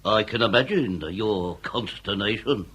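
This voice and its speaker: A man's voice: lowish voice, measured pace, fairly bright tone, breathy edge, rough recording. A man playing a pompous, wry police inspector in a comic period radio drama, florid and theatrical in his speech. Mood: probing.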